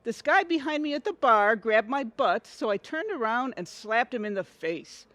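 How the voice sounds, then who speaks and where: A woman's voice: a little high, lively intonation, normal speed, a bit bright, no echo. A woman acting in an audio comedy as a prim, self-righteous housewife, easily outraged, protesting in a huffy, melodramatic way. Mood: huffy, indignant.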